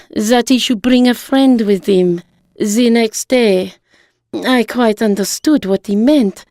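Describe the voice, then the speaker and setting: An actress as a dignified, high-voiced middle-aged wife. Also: female voice, warm tone, dry recording, clean studio sound. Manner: earnest, composed pleading. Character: dignified, candid wife in her fifties, unembarrassed. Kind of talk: dramatised short story monologue.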